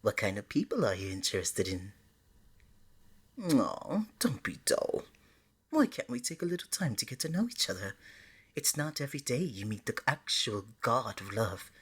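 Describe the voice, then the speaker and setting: Woman acting as love deity, low-pitched, very animated, breathy, coaxing, flirty, affectionate, ASMR romance roleplay. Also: full character voice. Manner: coaxing, playful, pet names like sweetie and honey.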